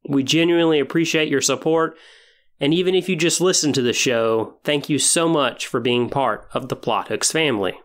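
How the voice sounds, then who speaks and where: A man hosting a podcast in a semi-scripted monologue, explaining things in an earnest, measured way with emphatic pauses. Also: mid-range voice, a bit bright. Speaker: podcast host. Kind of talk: semi-scripted podcast monologue about tabletop role-playing.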